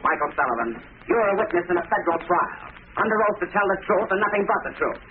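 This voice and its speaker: Fast, high-voiced stern radio prosecutor. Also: male voice, lively intonation, far from the mic. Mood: stern, pressing. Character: stern, accusing courtroom prosecutor, indignant and insistent. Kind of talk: 1940s radio drama acting. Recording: tinny, hissy old radio recording.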